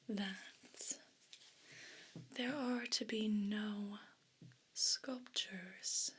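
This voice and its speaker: A haughty evil house cat, played by a woman, whispers in pompous, formal phrases for an ASMR role play. The delivery is extremely slow and breathy, dripping with offended disdain. Noticeable noise sits behind the voice. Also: medium pitch, pushed and bright, rough recording. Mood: imperious.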